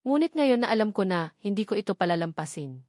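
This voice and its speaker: A woman's voice: medium pitch, sing-song, quick pace, darkish tone, no room sound, studio quality, natural voice. A woman voicing a remorseful, determined man in a romance storybook narration, solemn and earnest. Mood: solemn determination. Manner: solemn, contrite, resolute.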